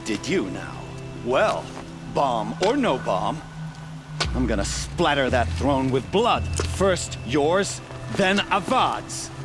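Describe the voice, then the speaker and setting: A slow, theatrical sneering villain over loud music. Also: male voice, mid-range voice, big pitch swings. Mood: menacing suspicion. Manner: theatrical, menacing, drawn-out taunts. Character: vengeful, sneering male villain gloating over destruction. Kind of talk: video game cutscene acting.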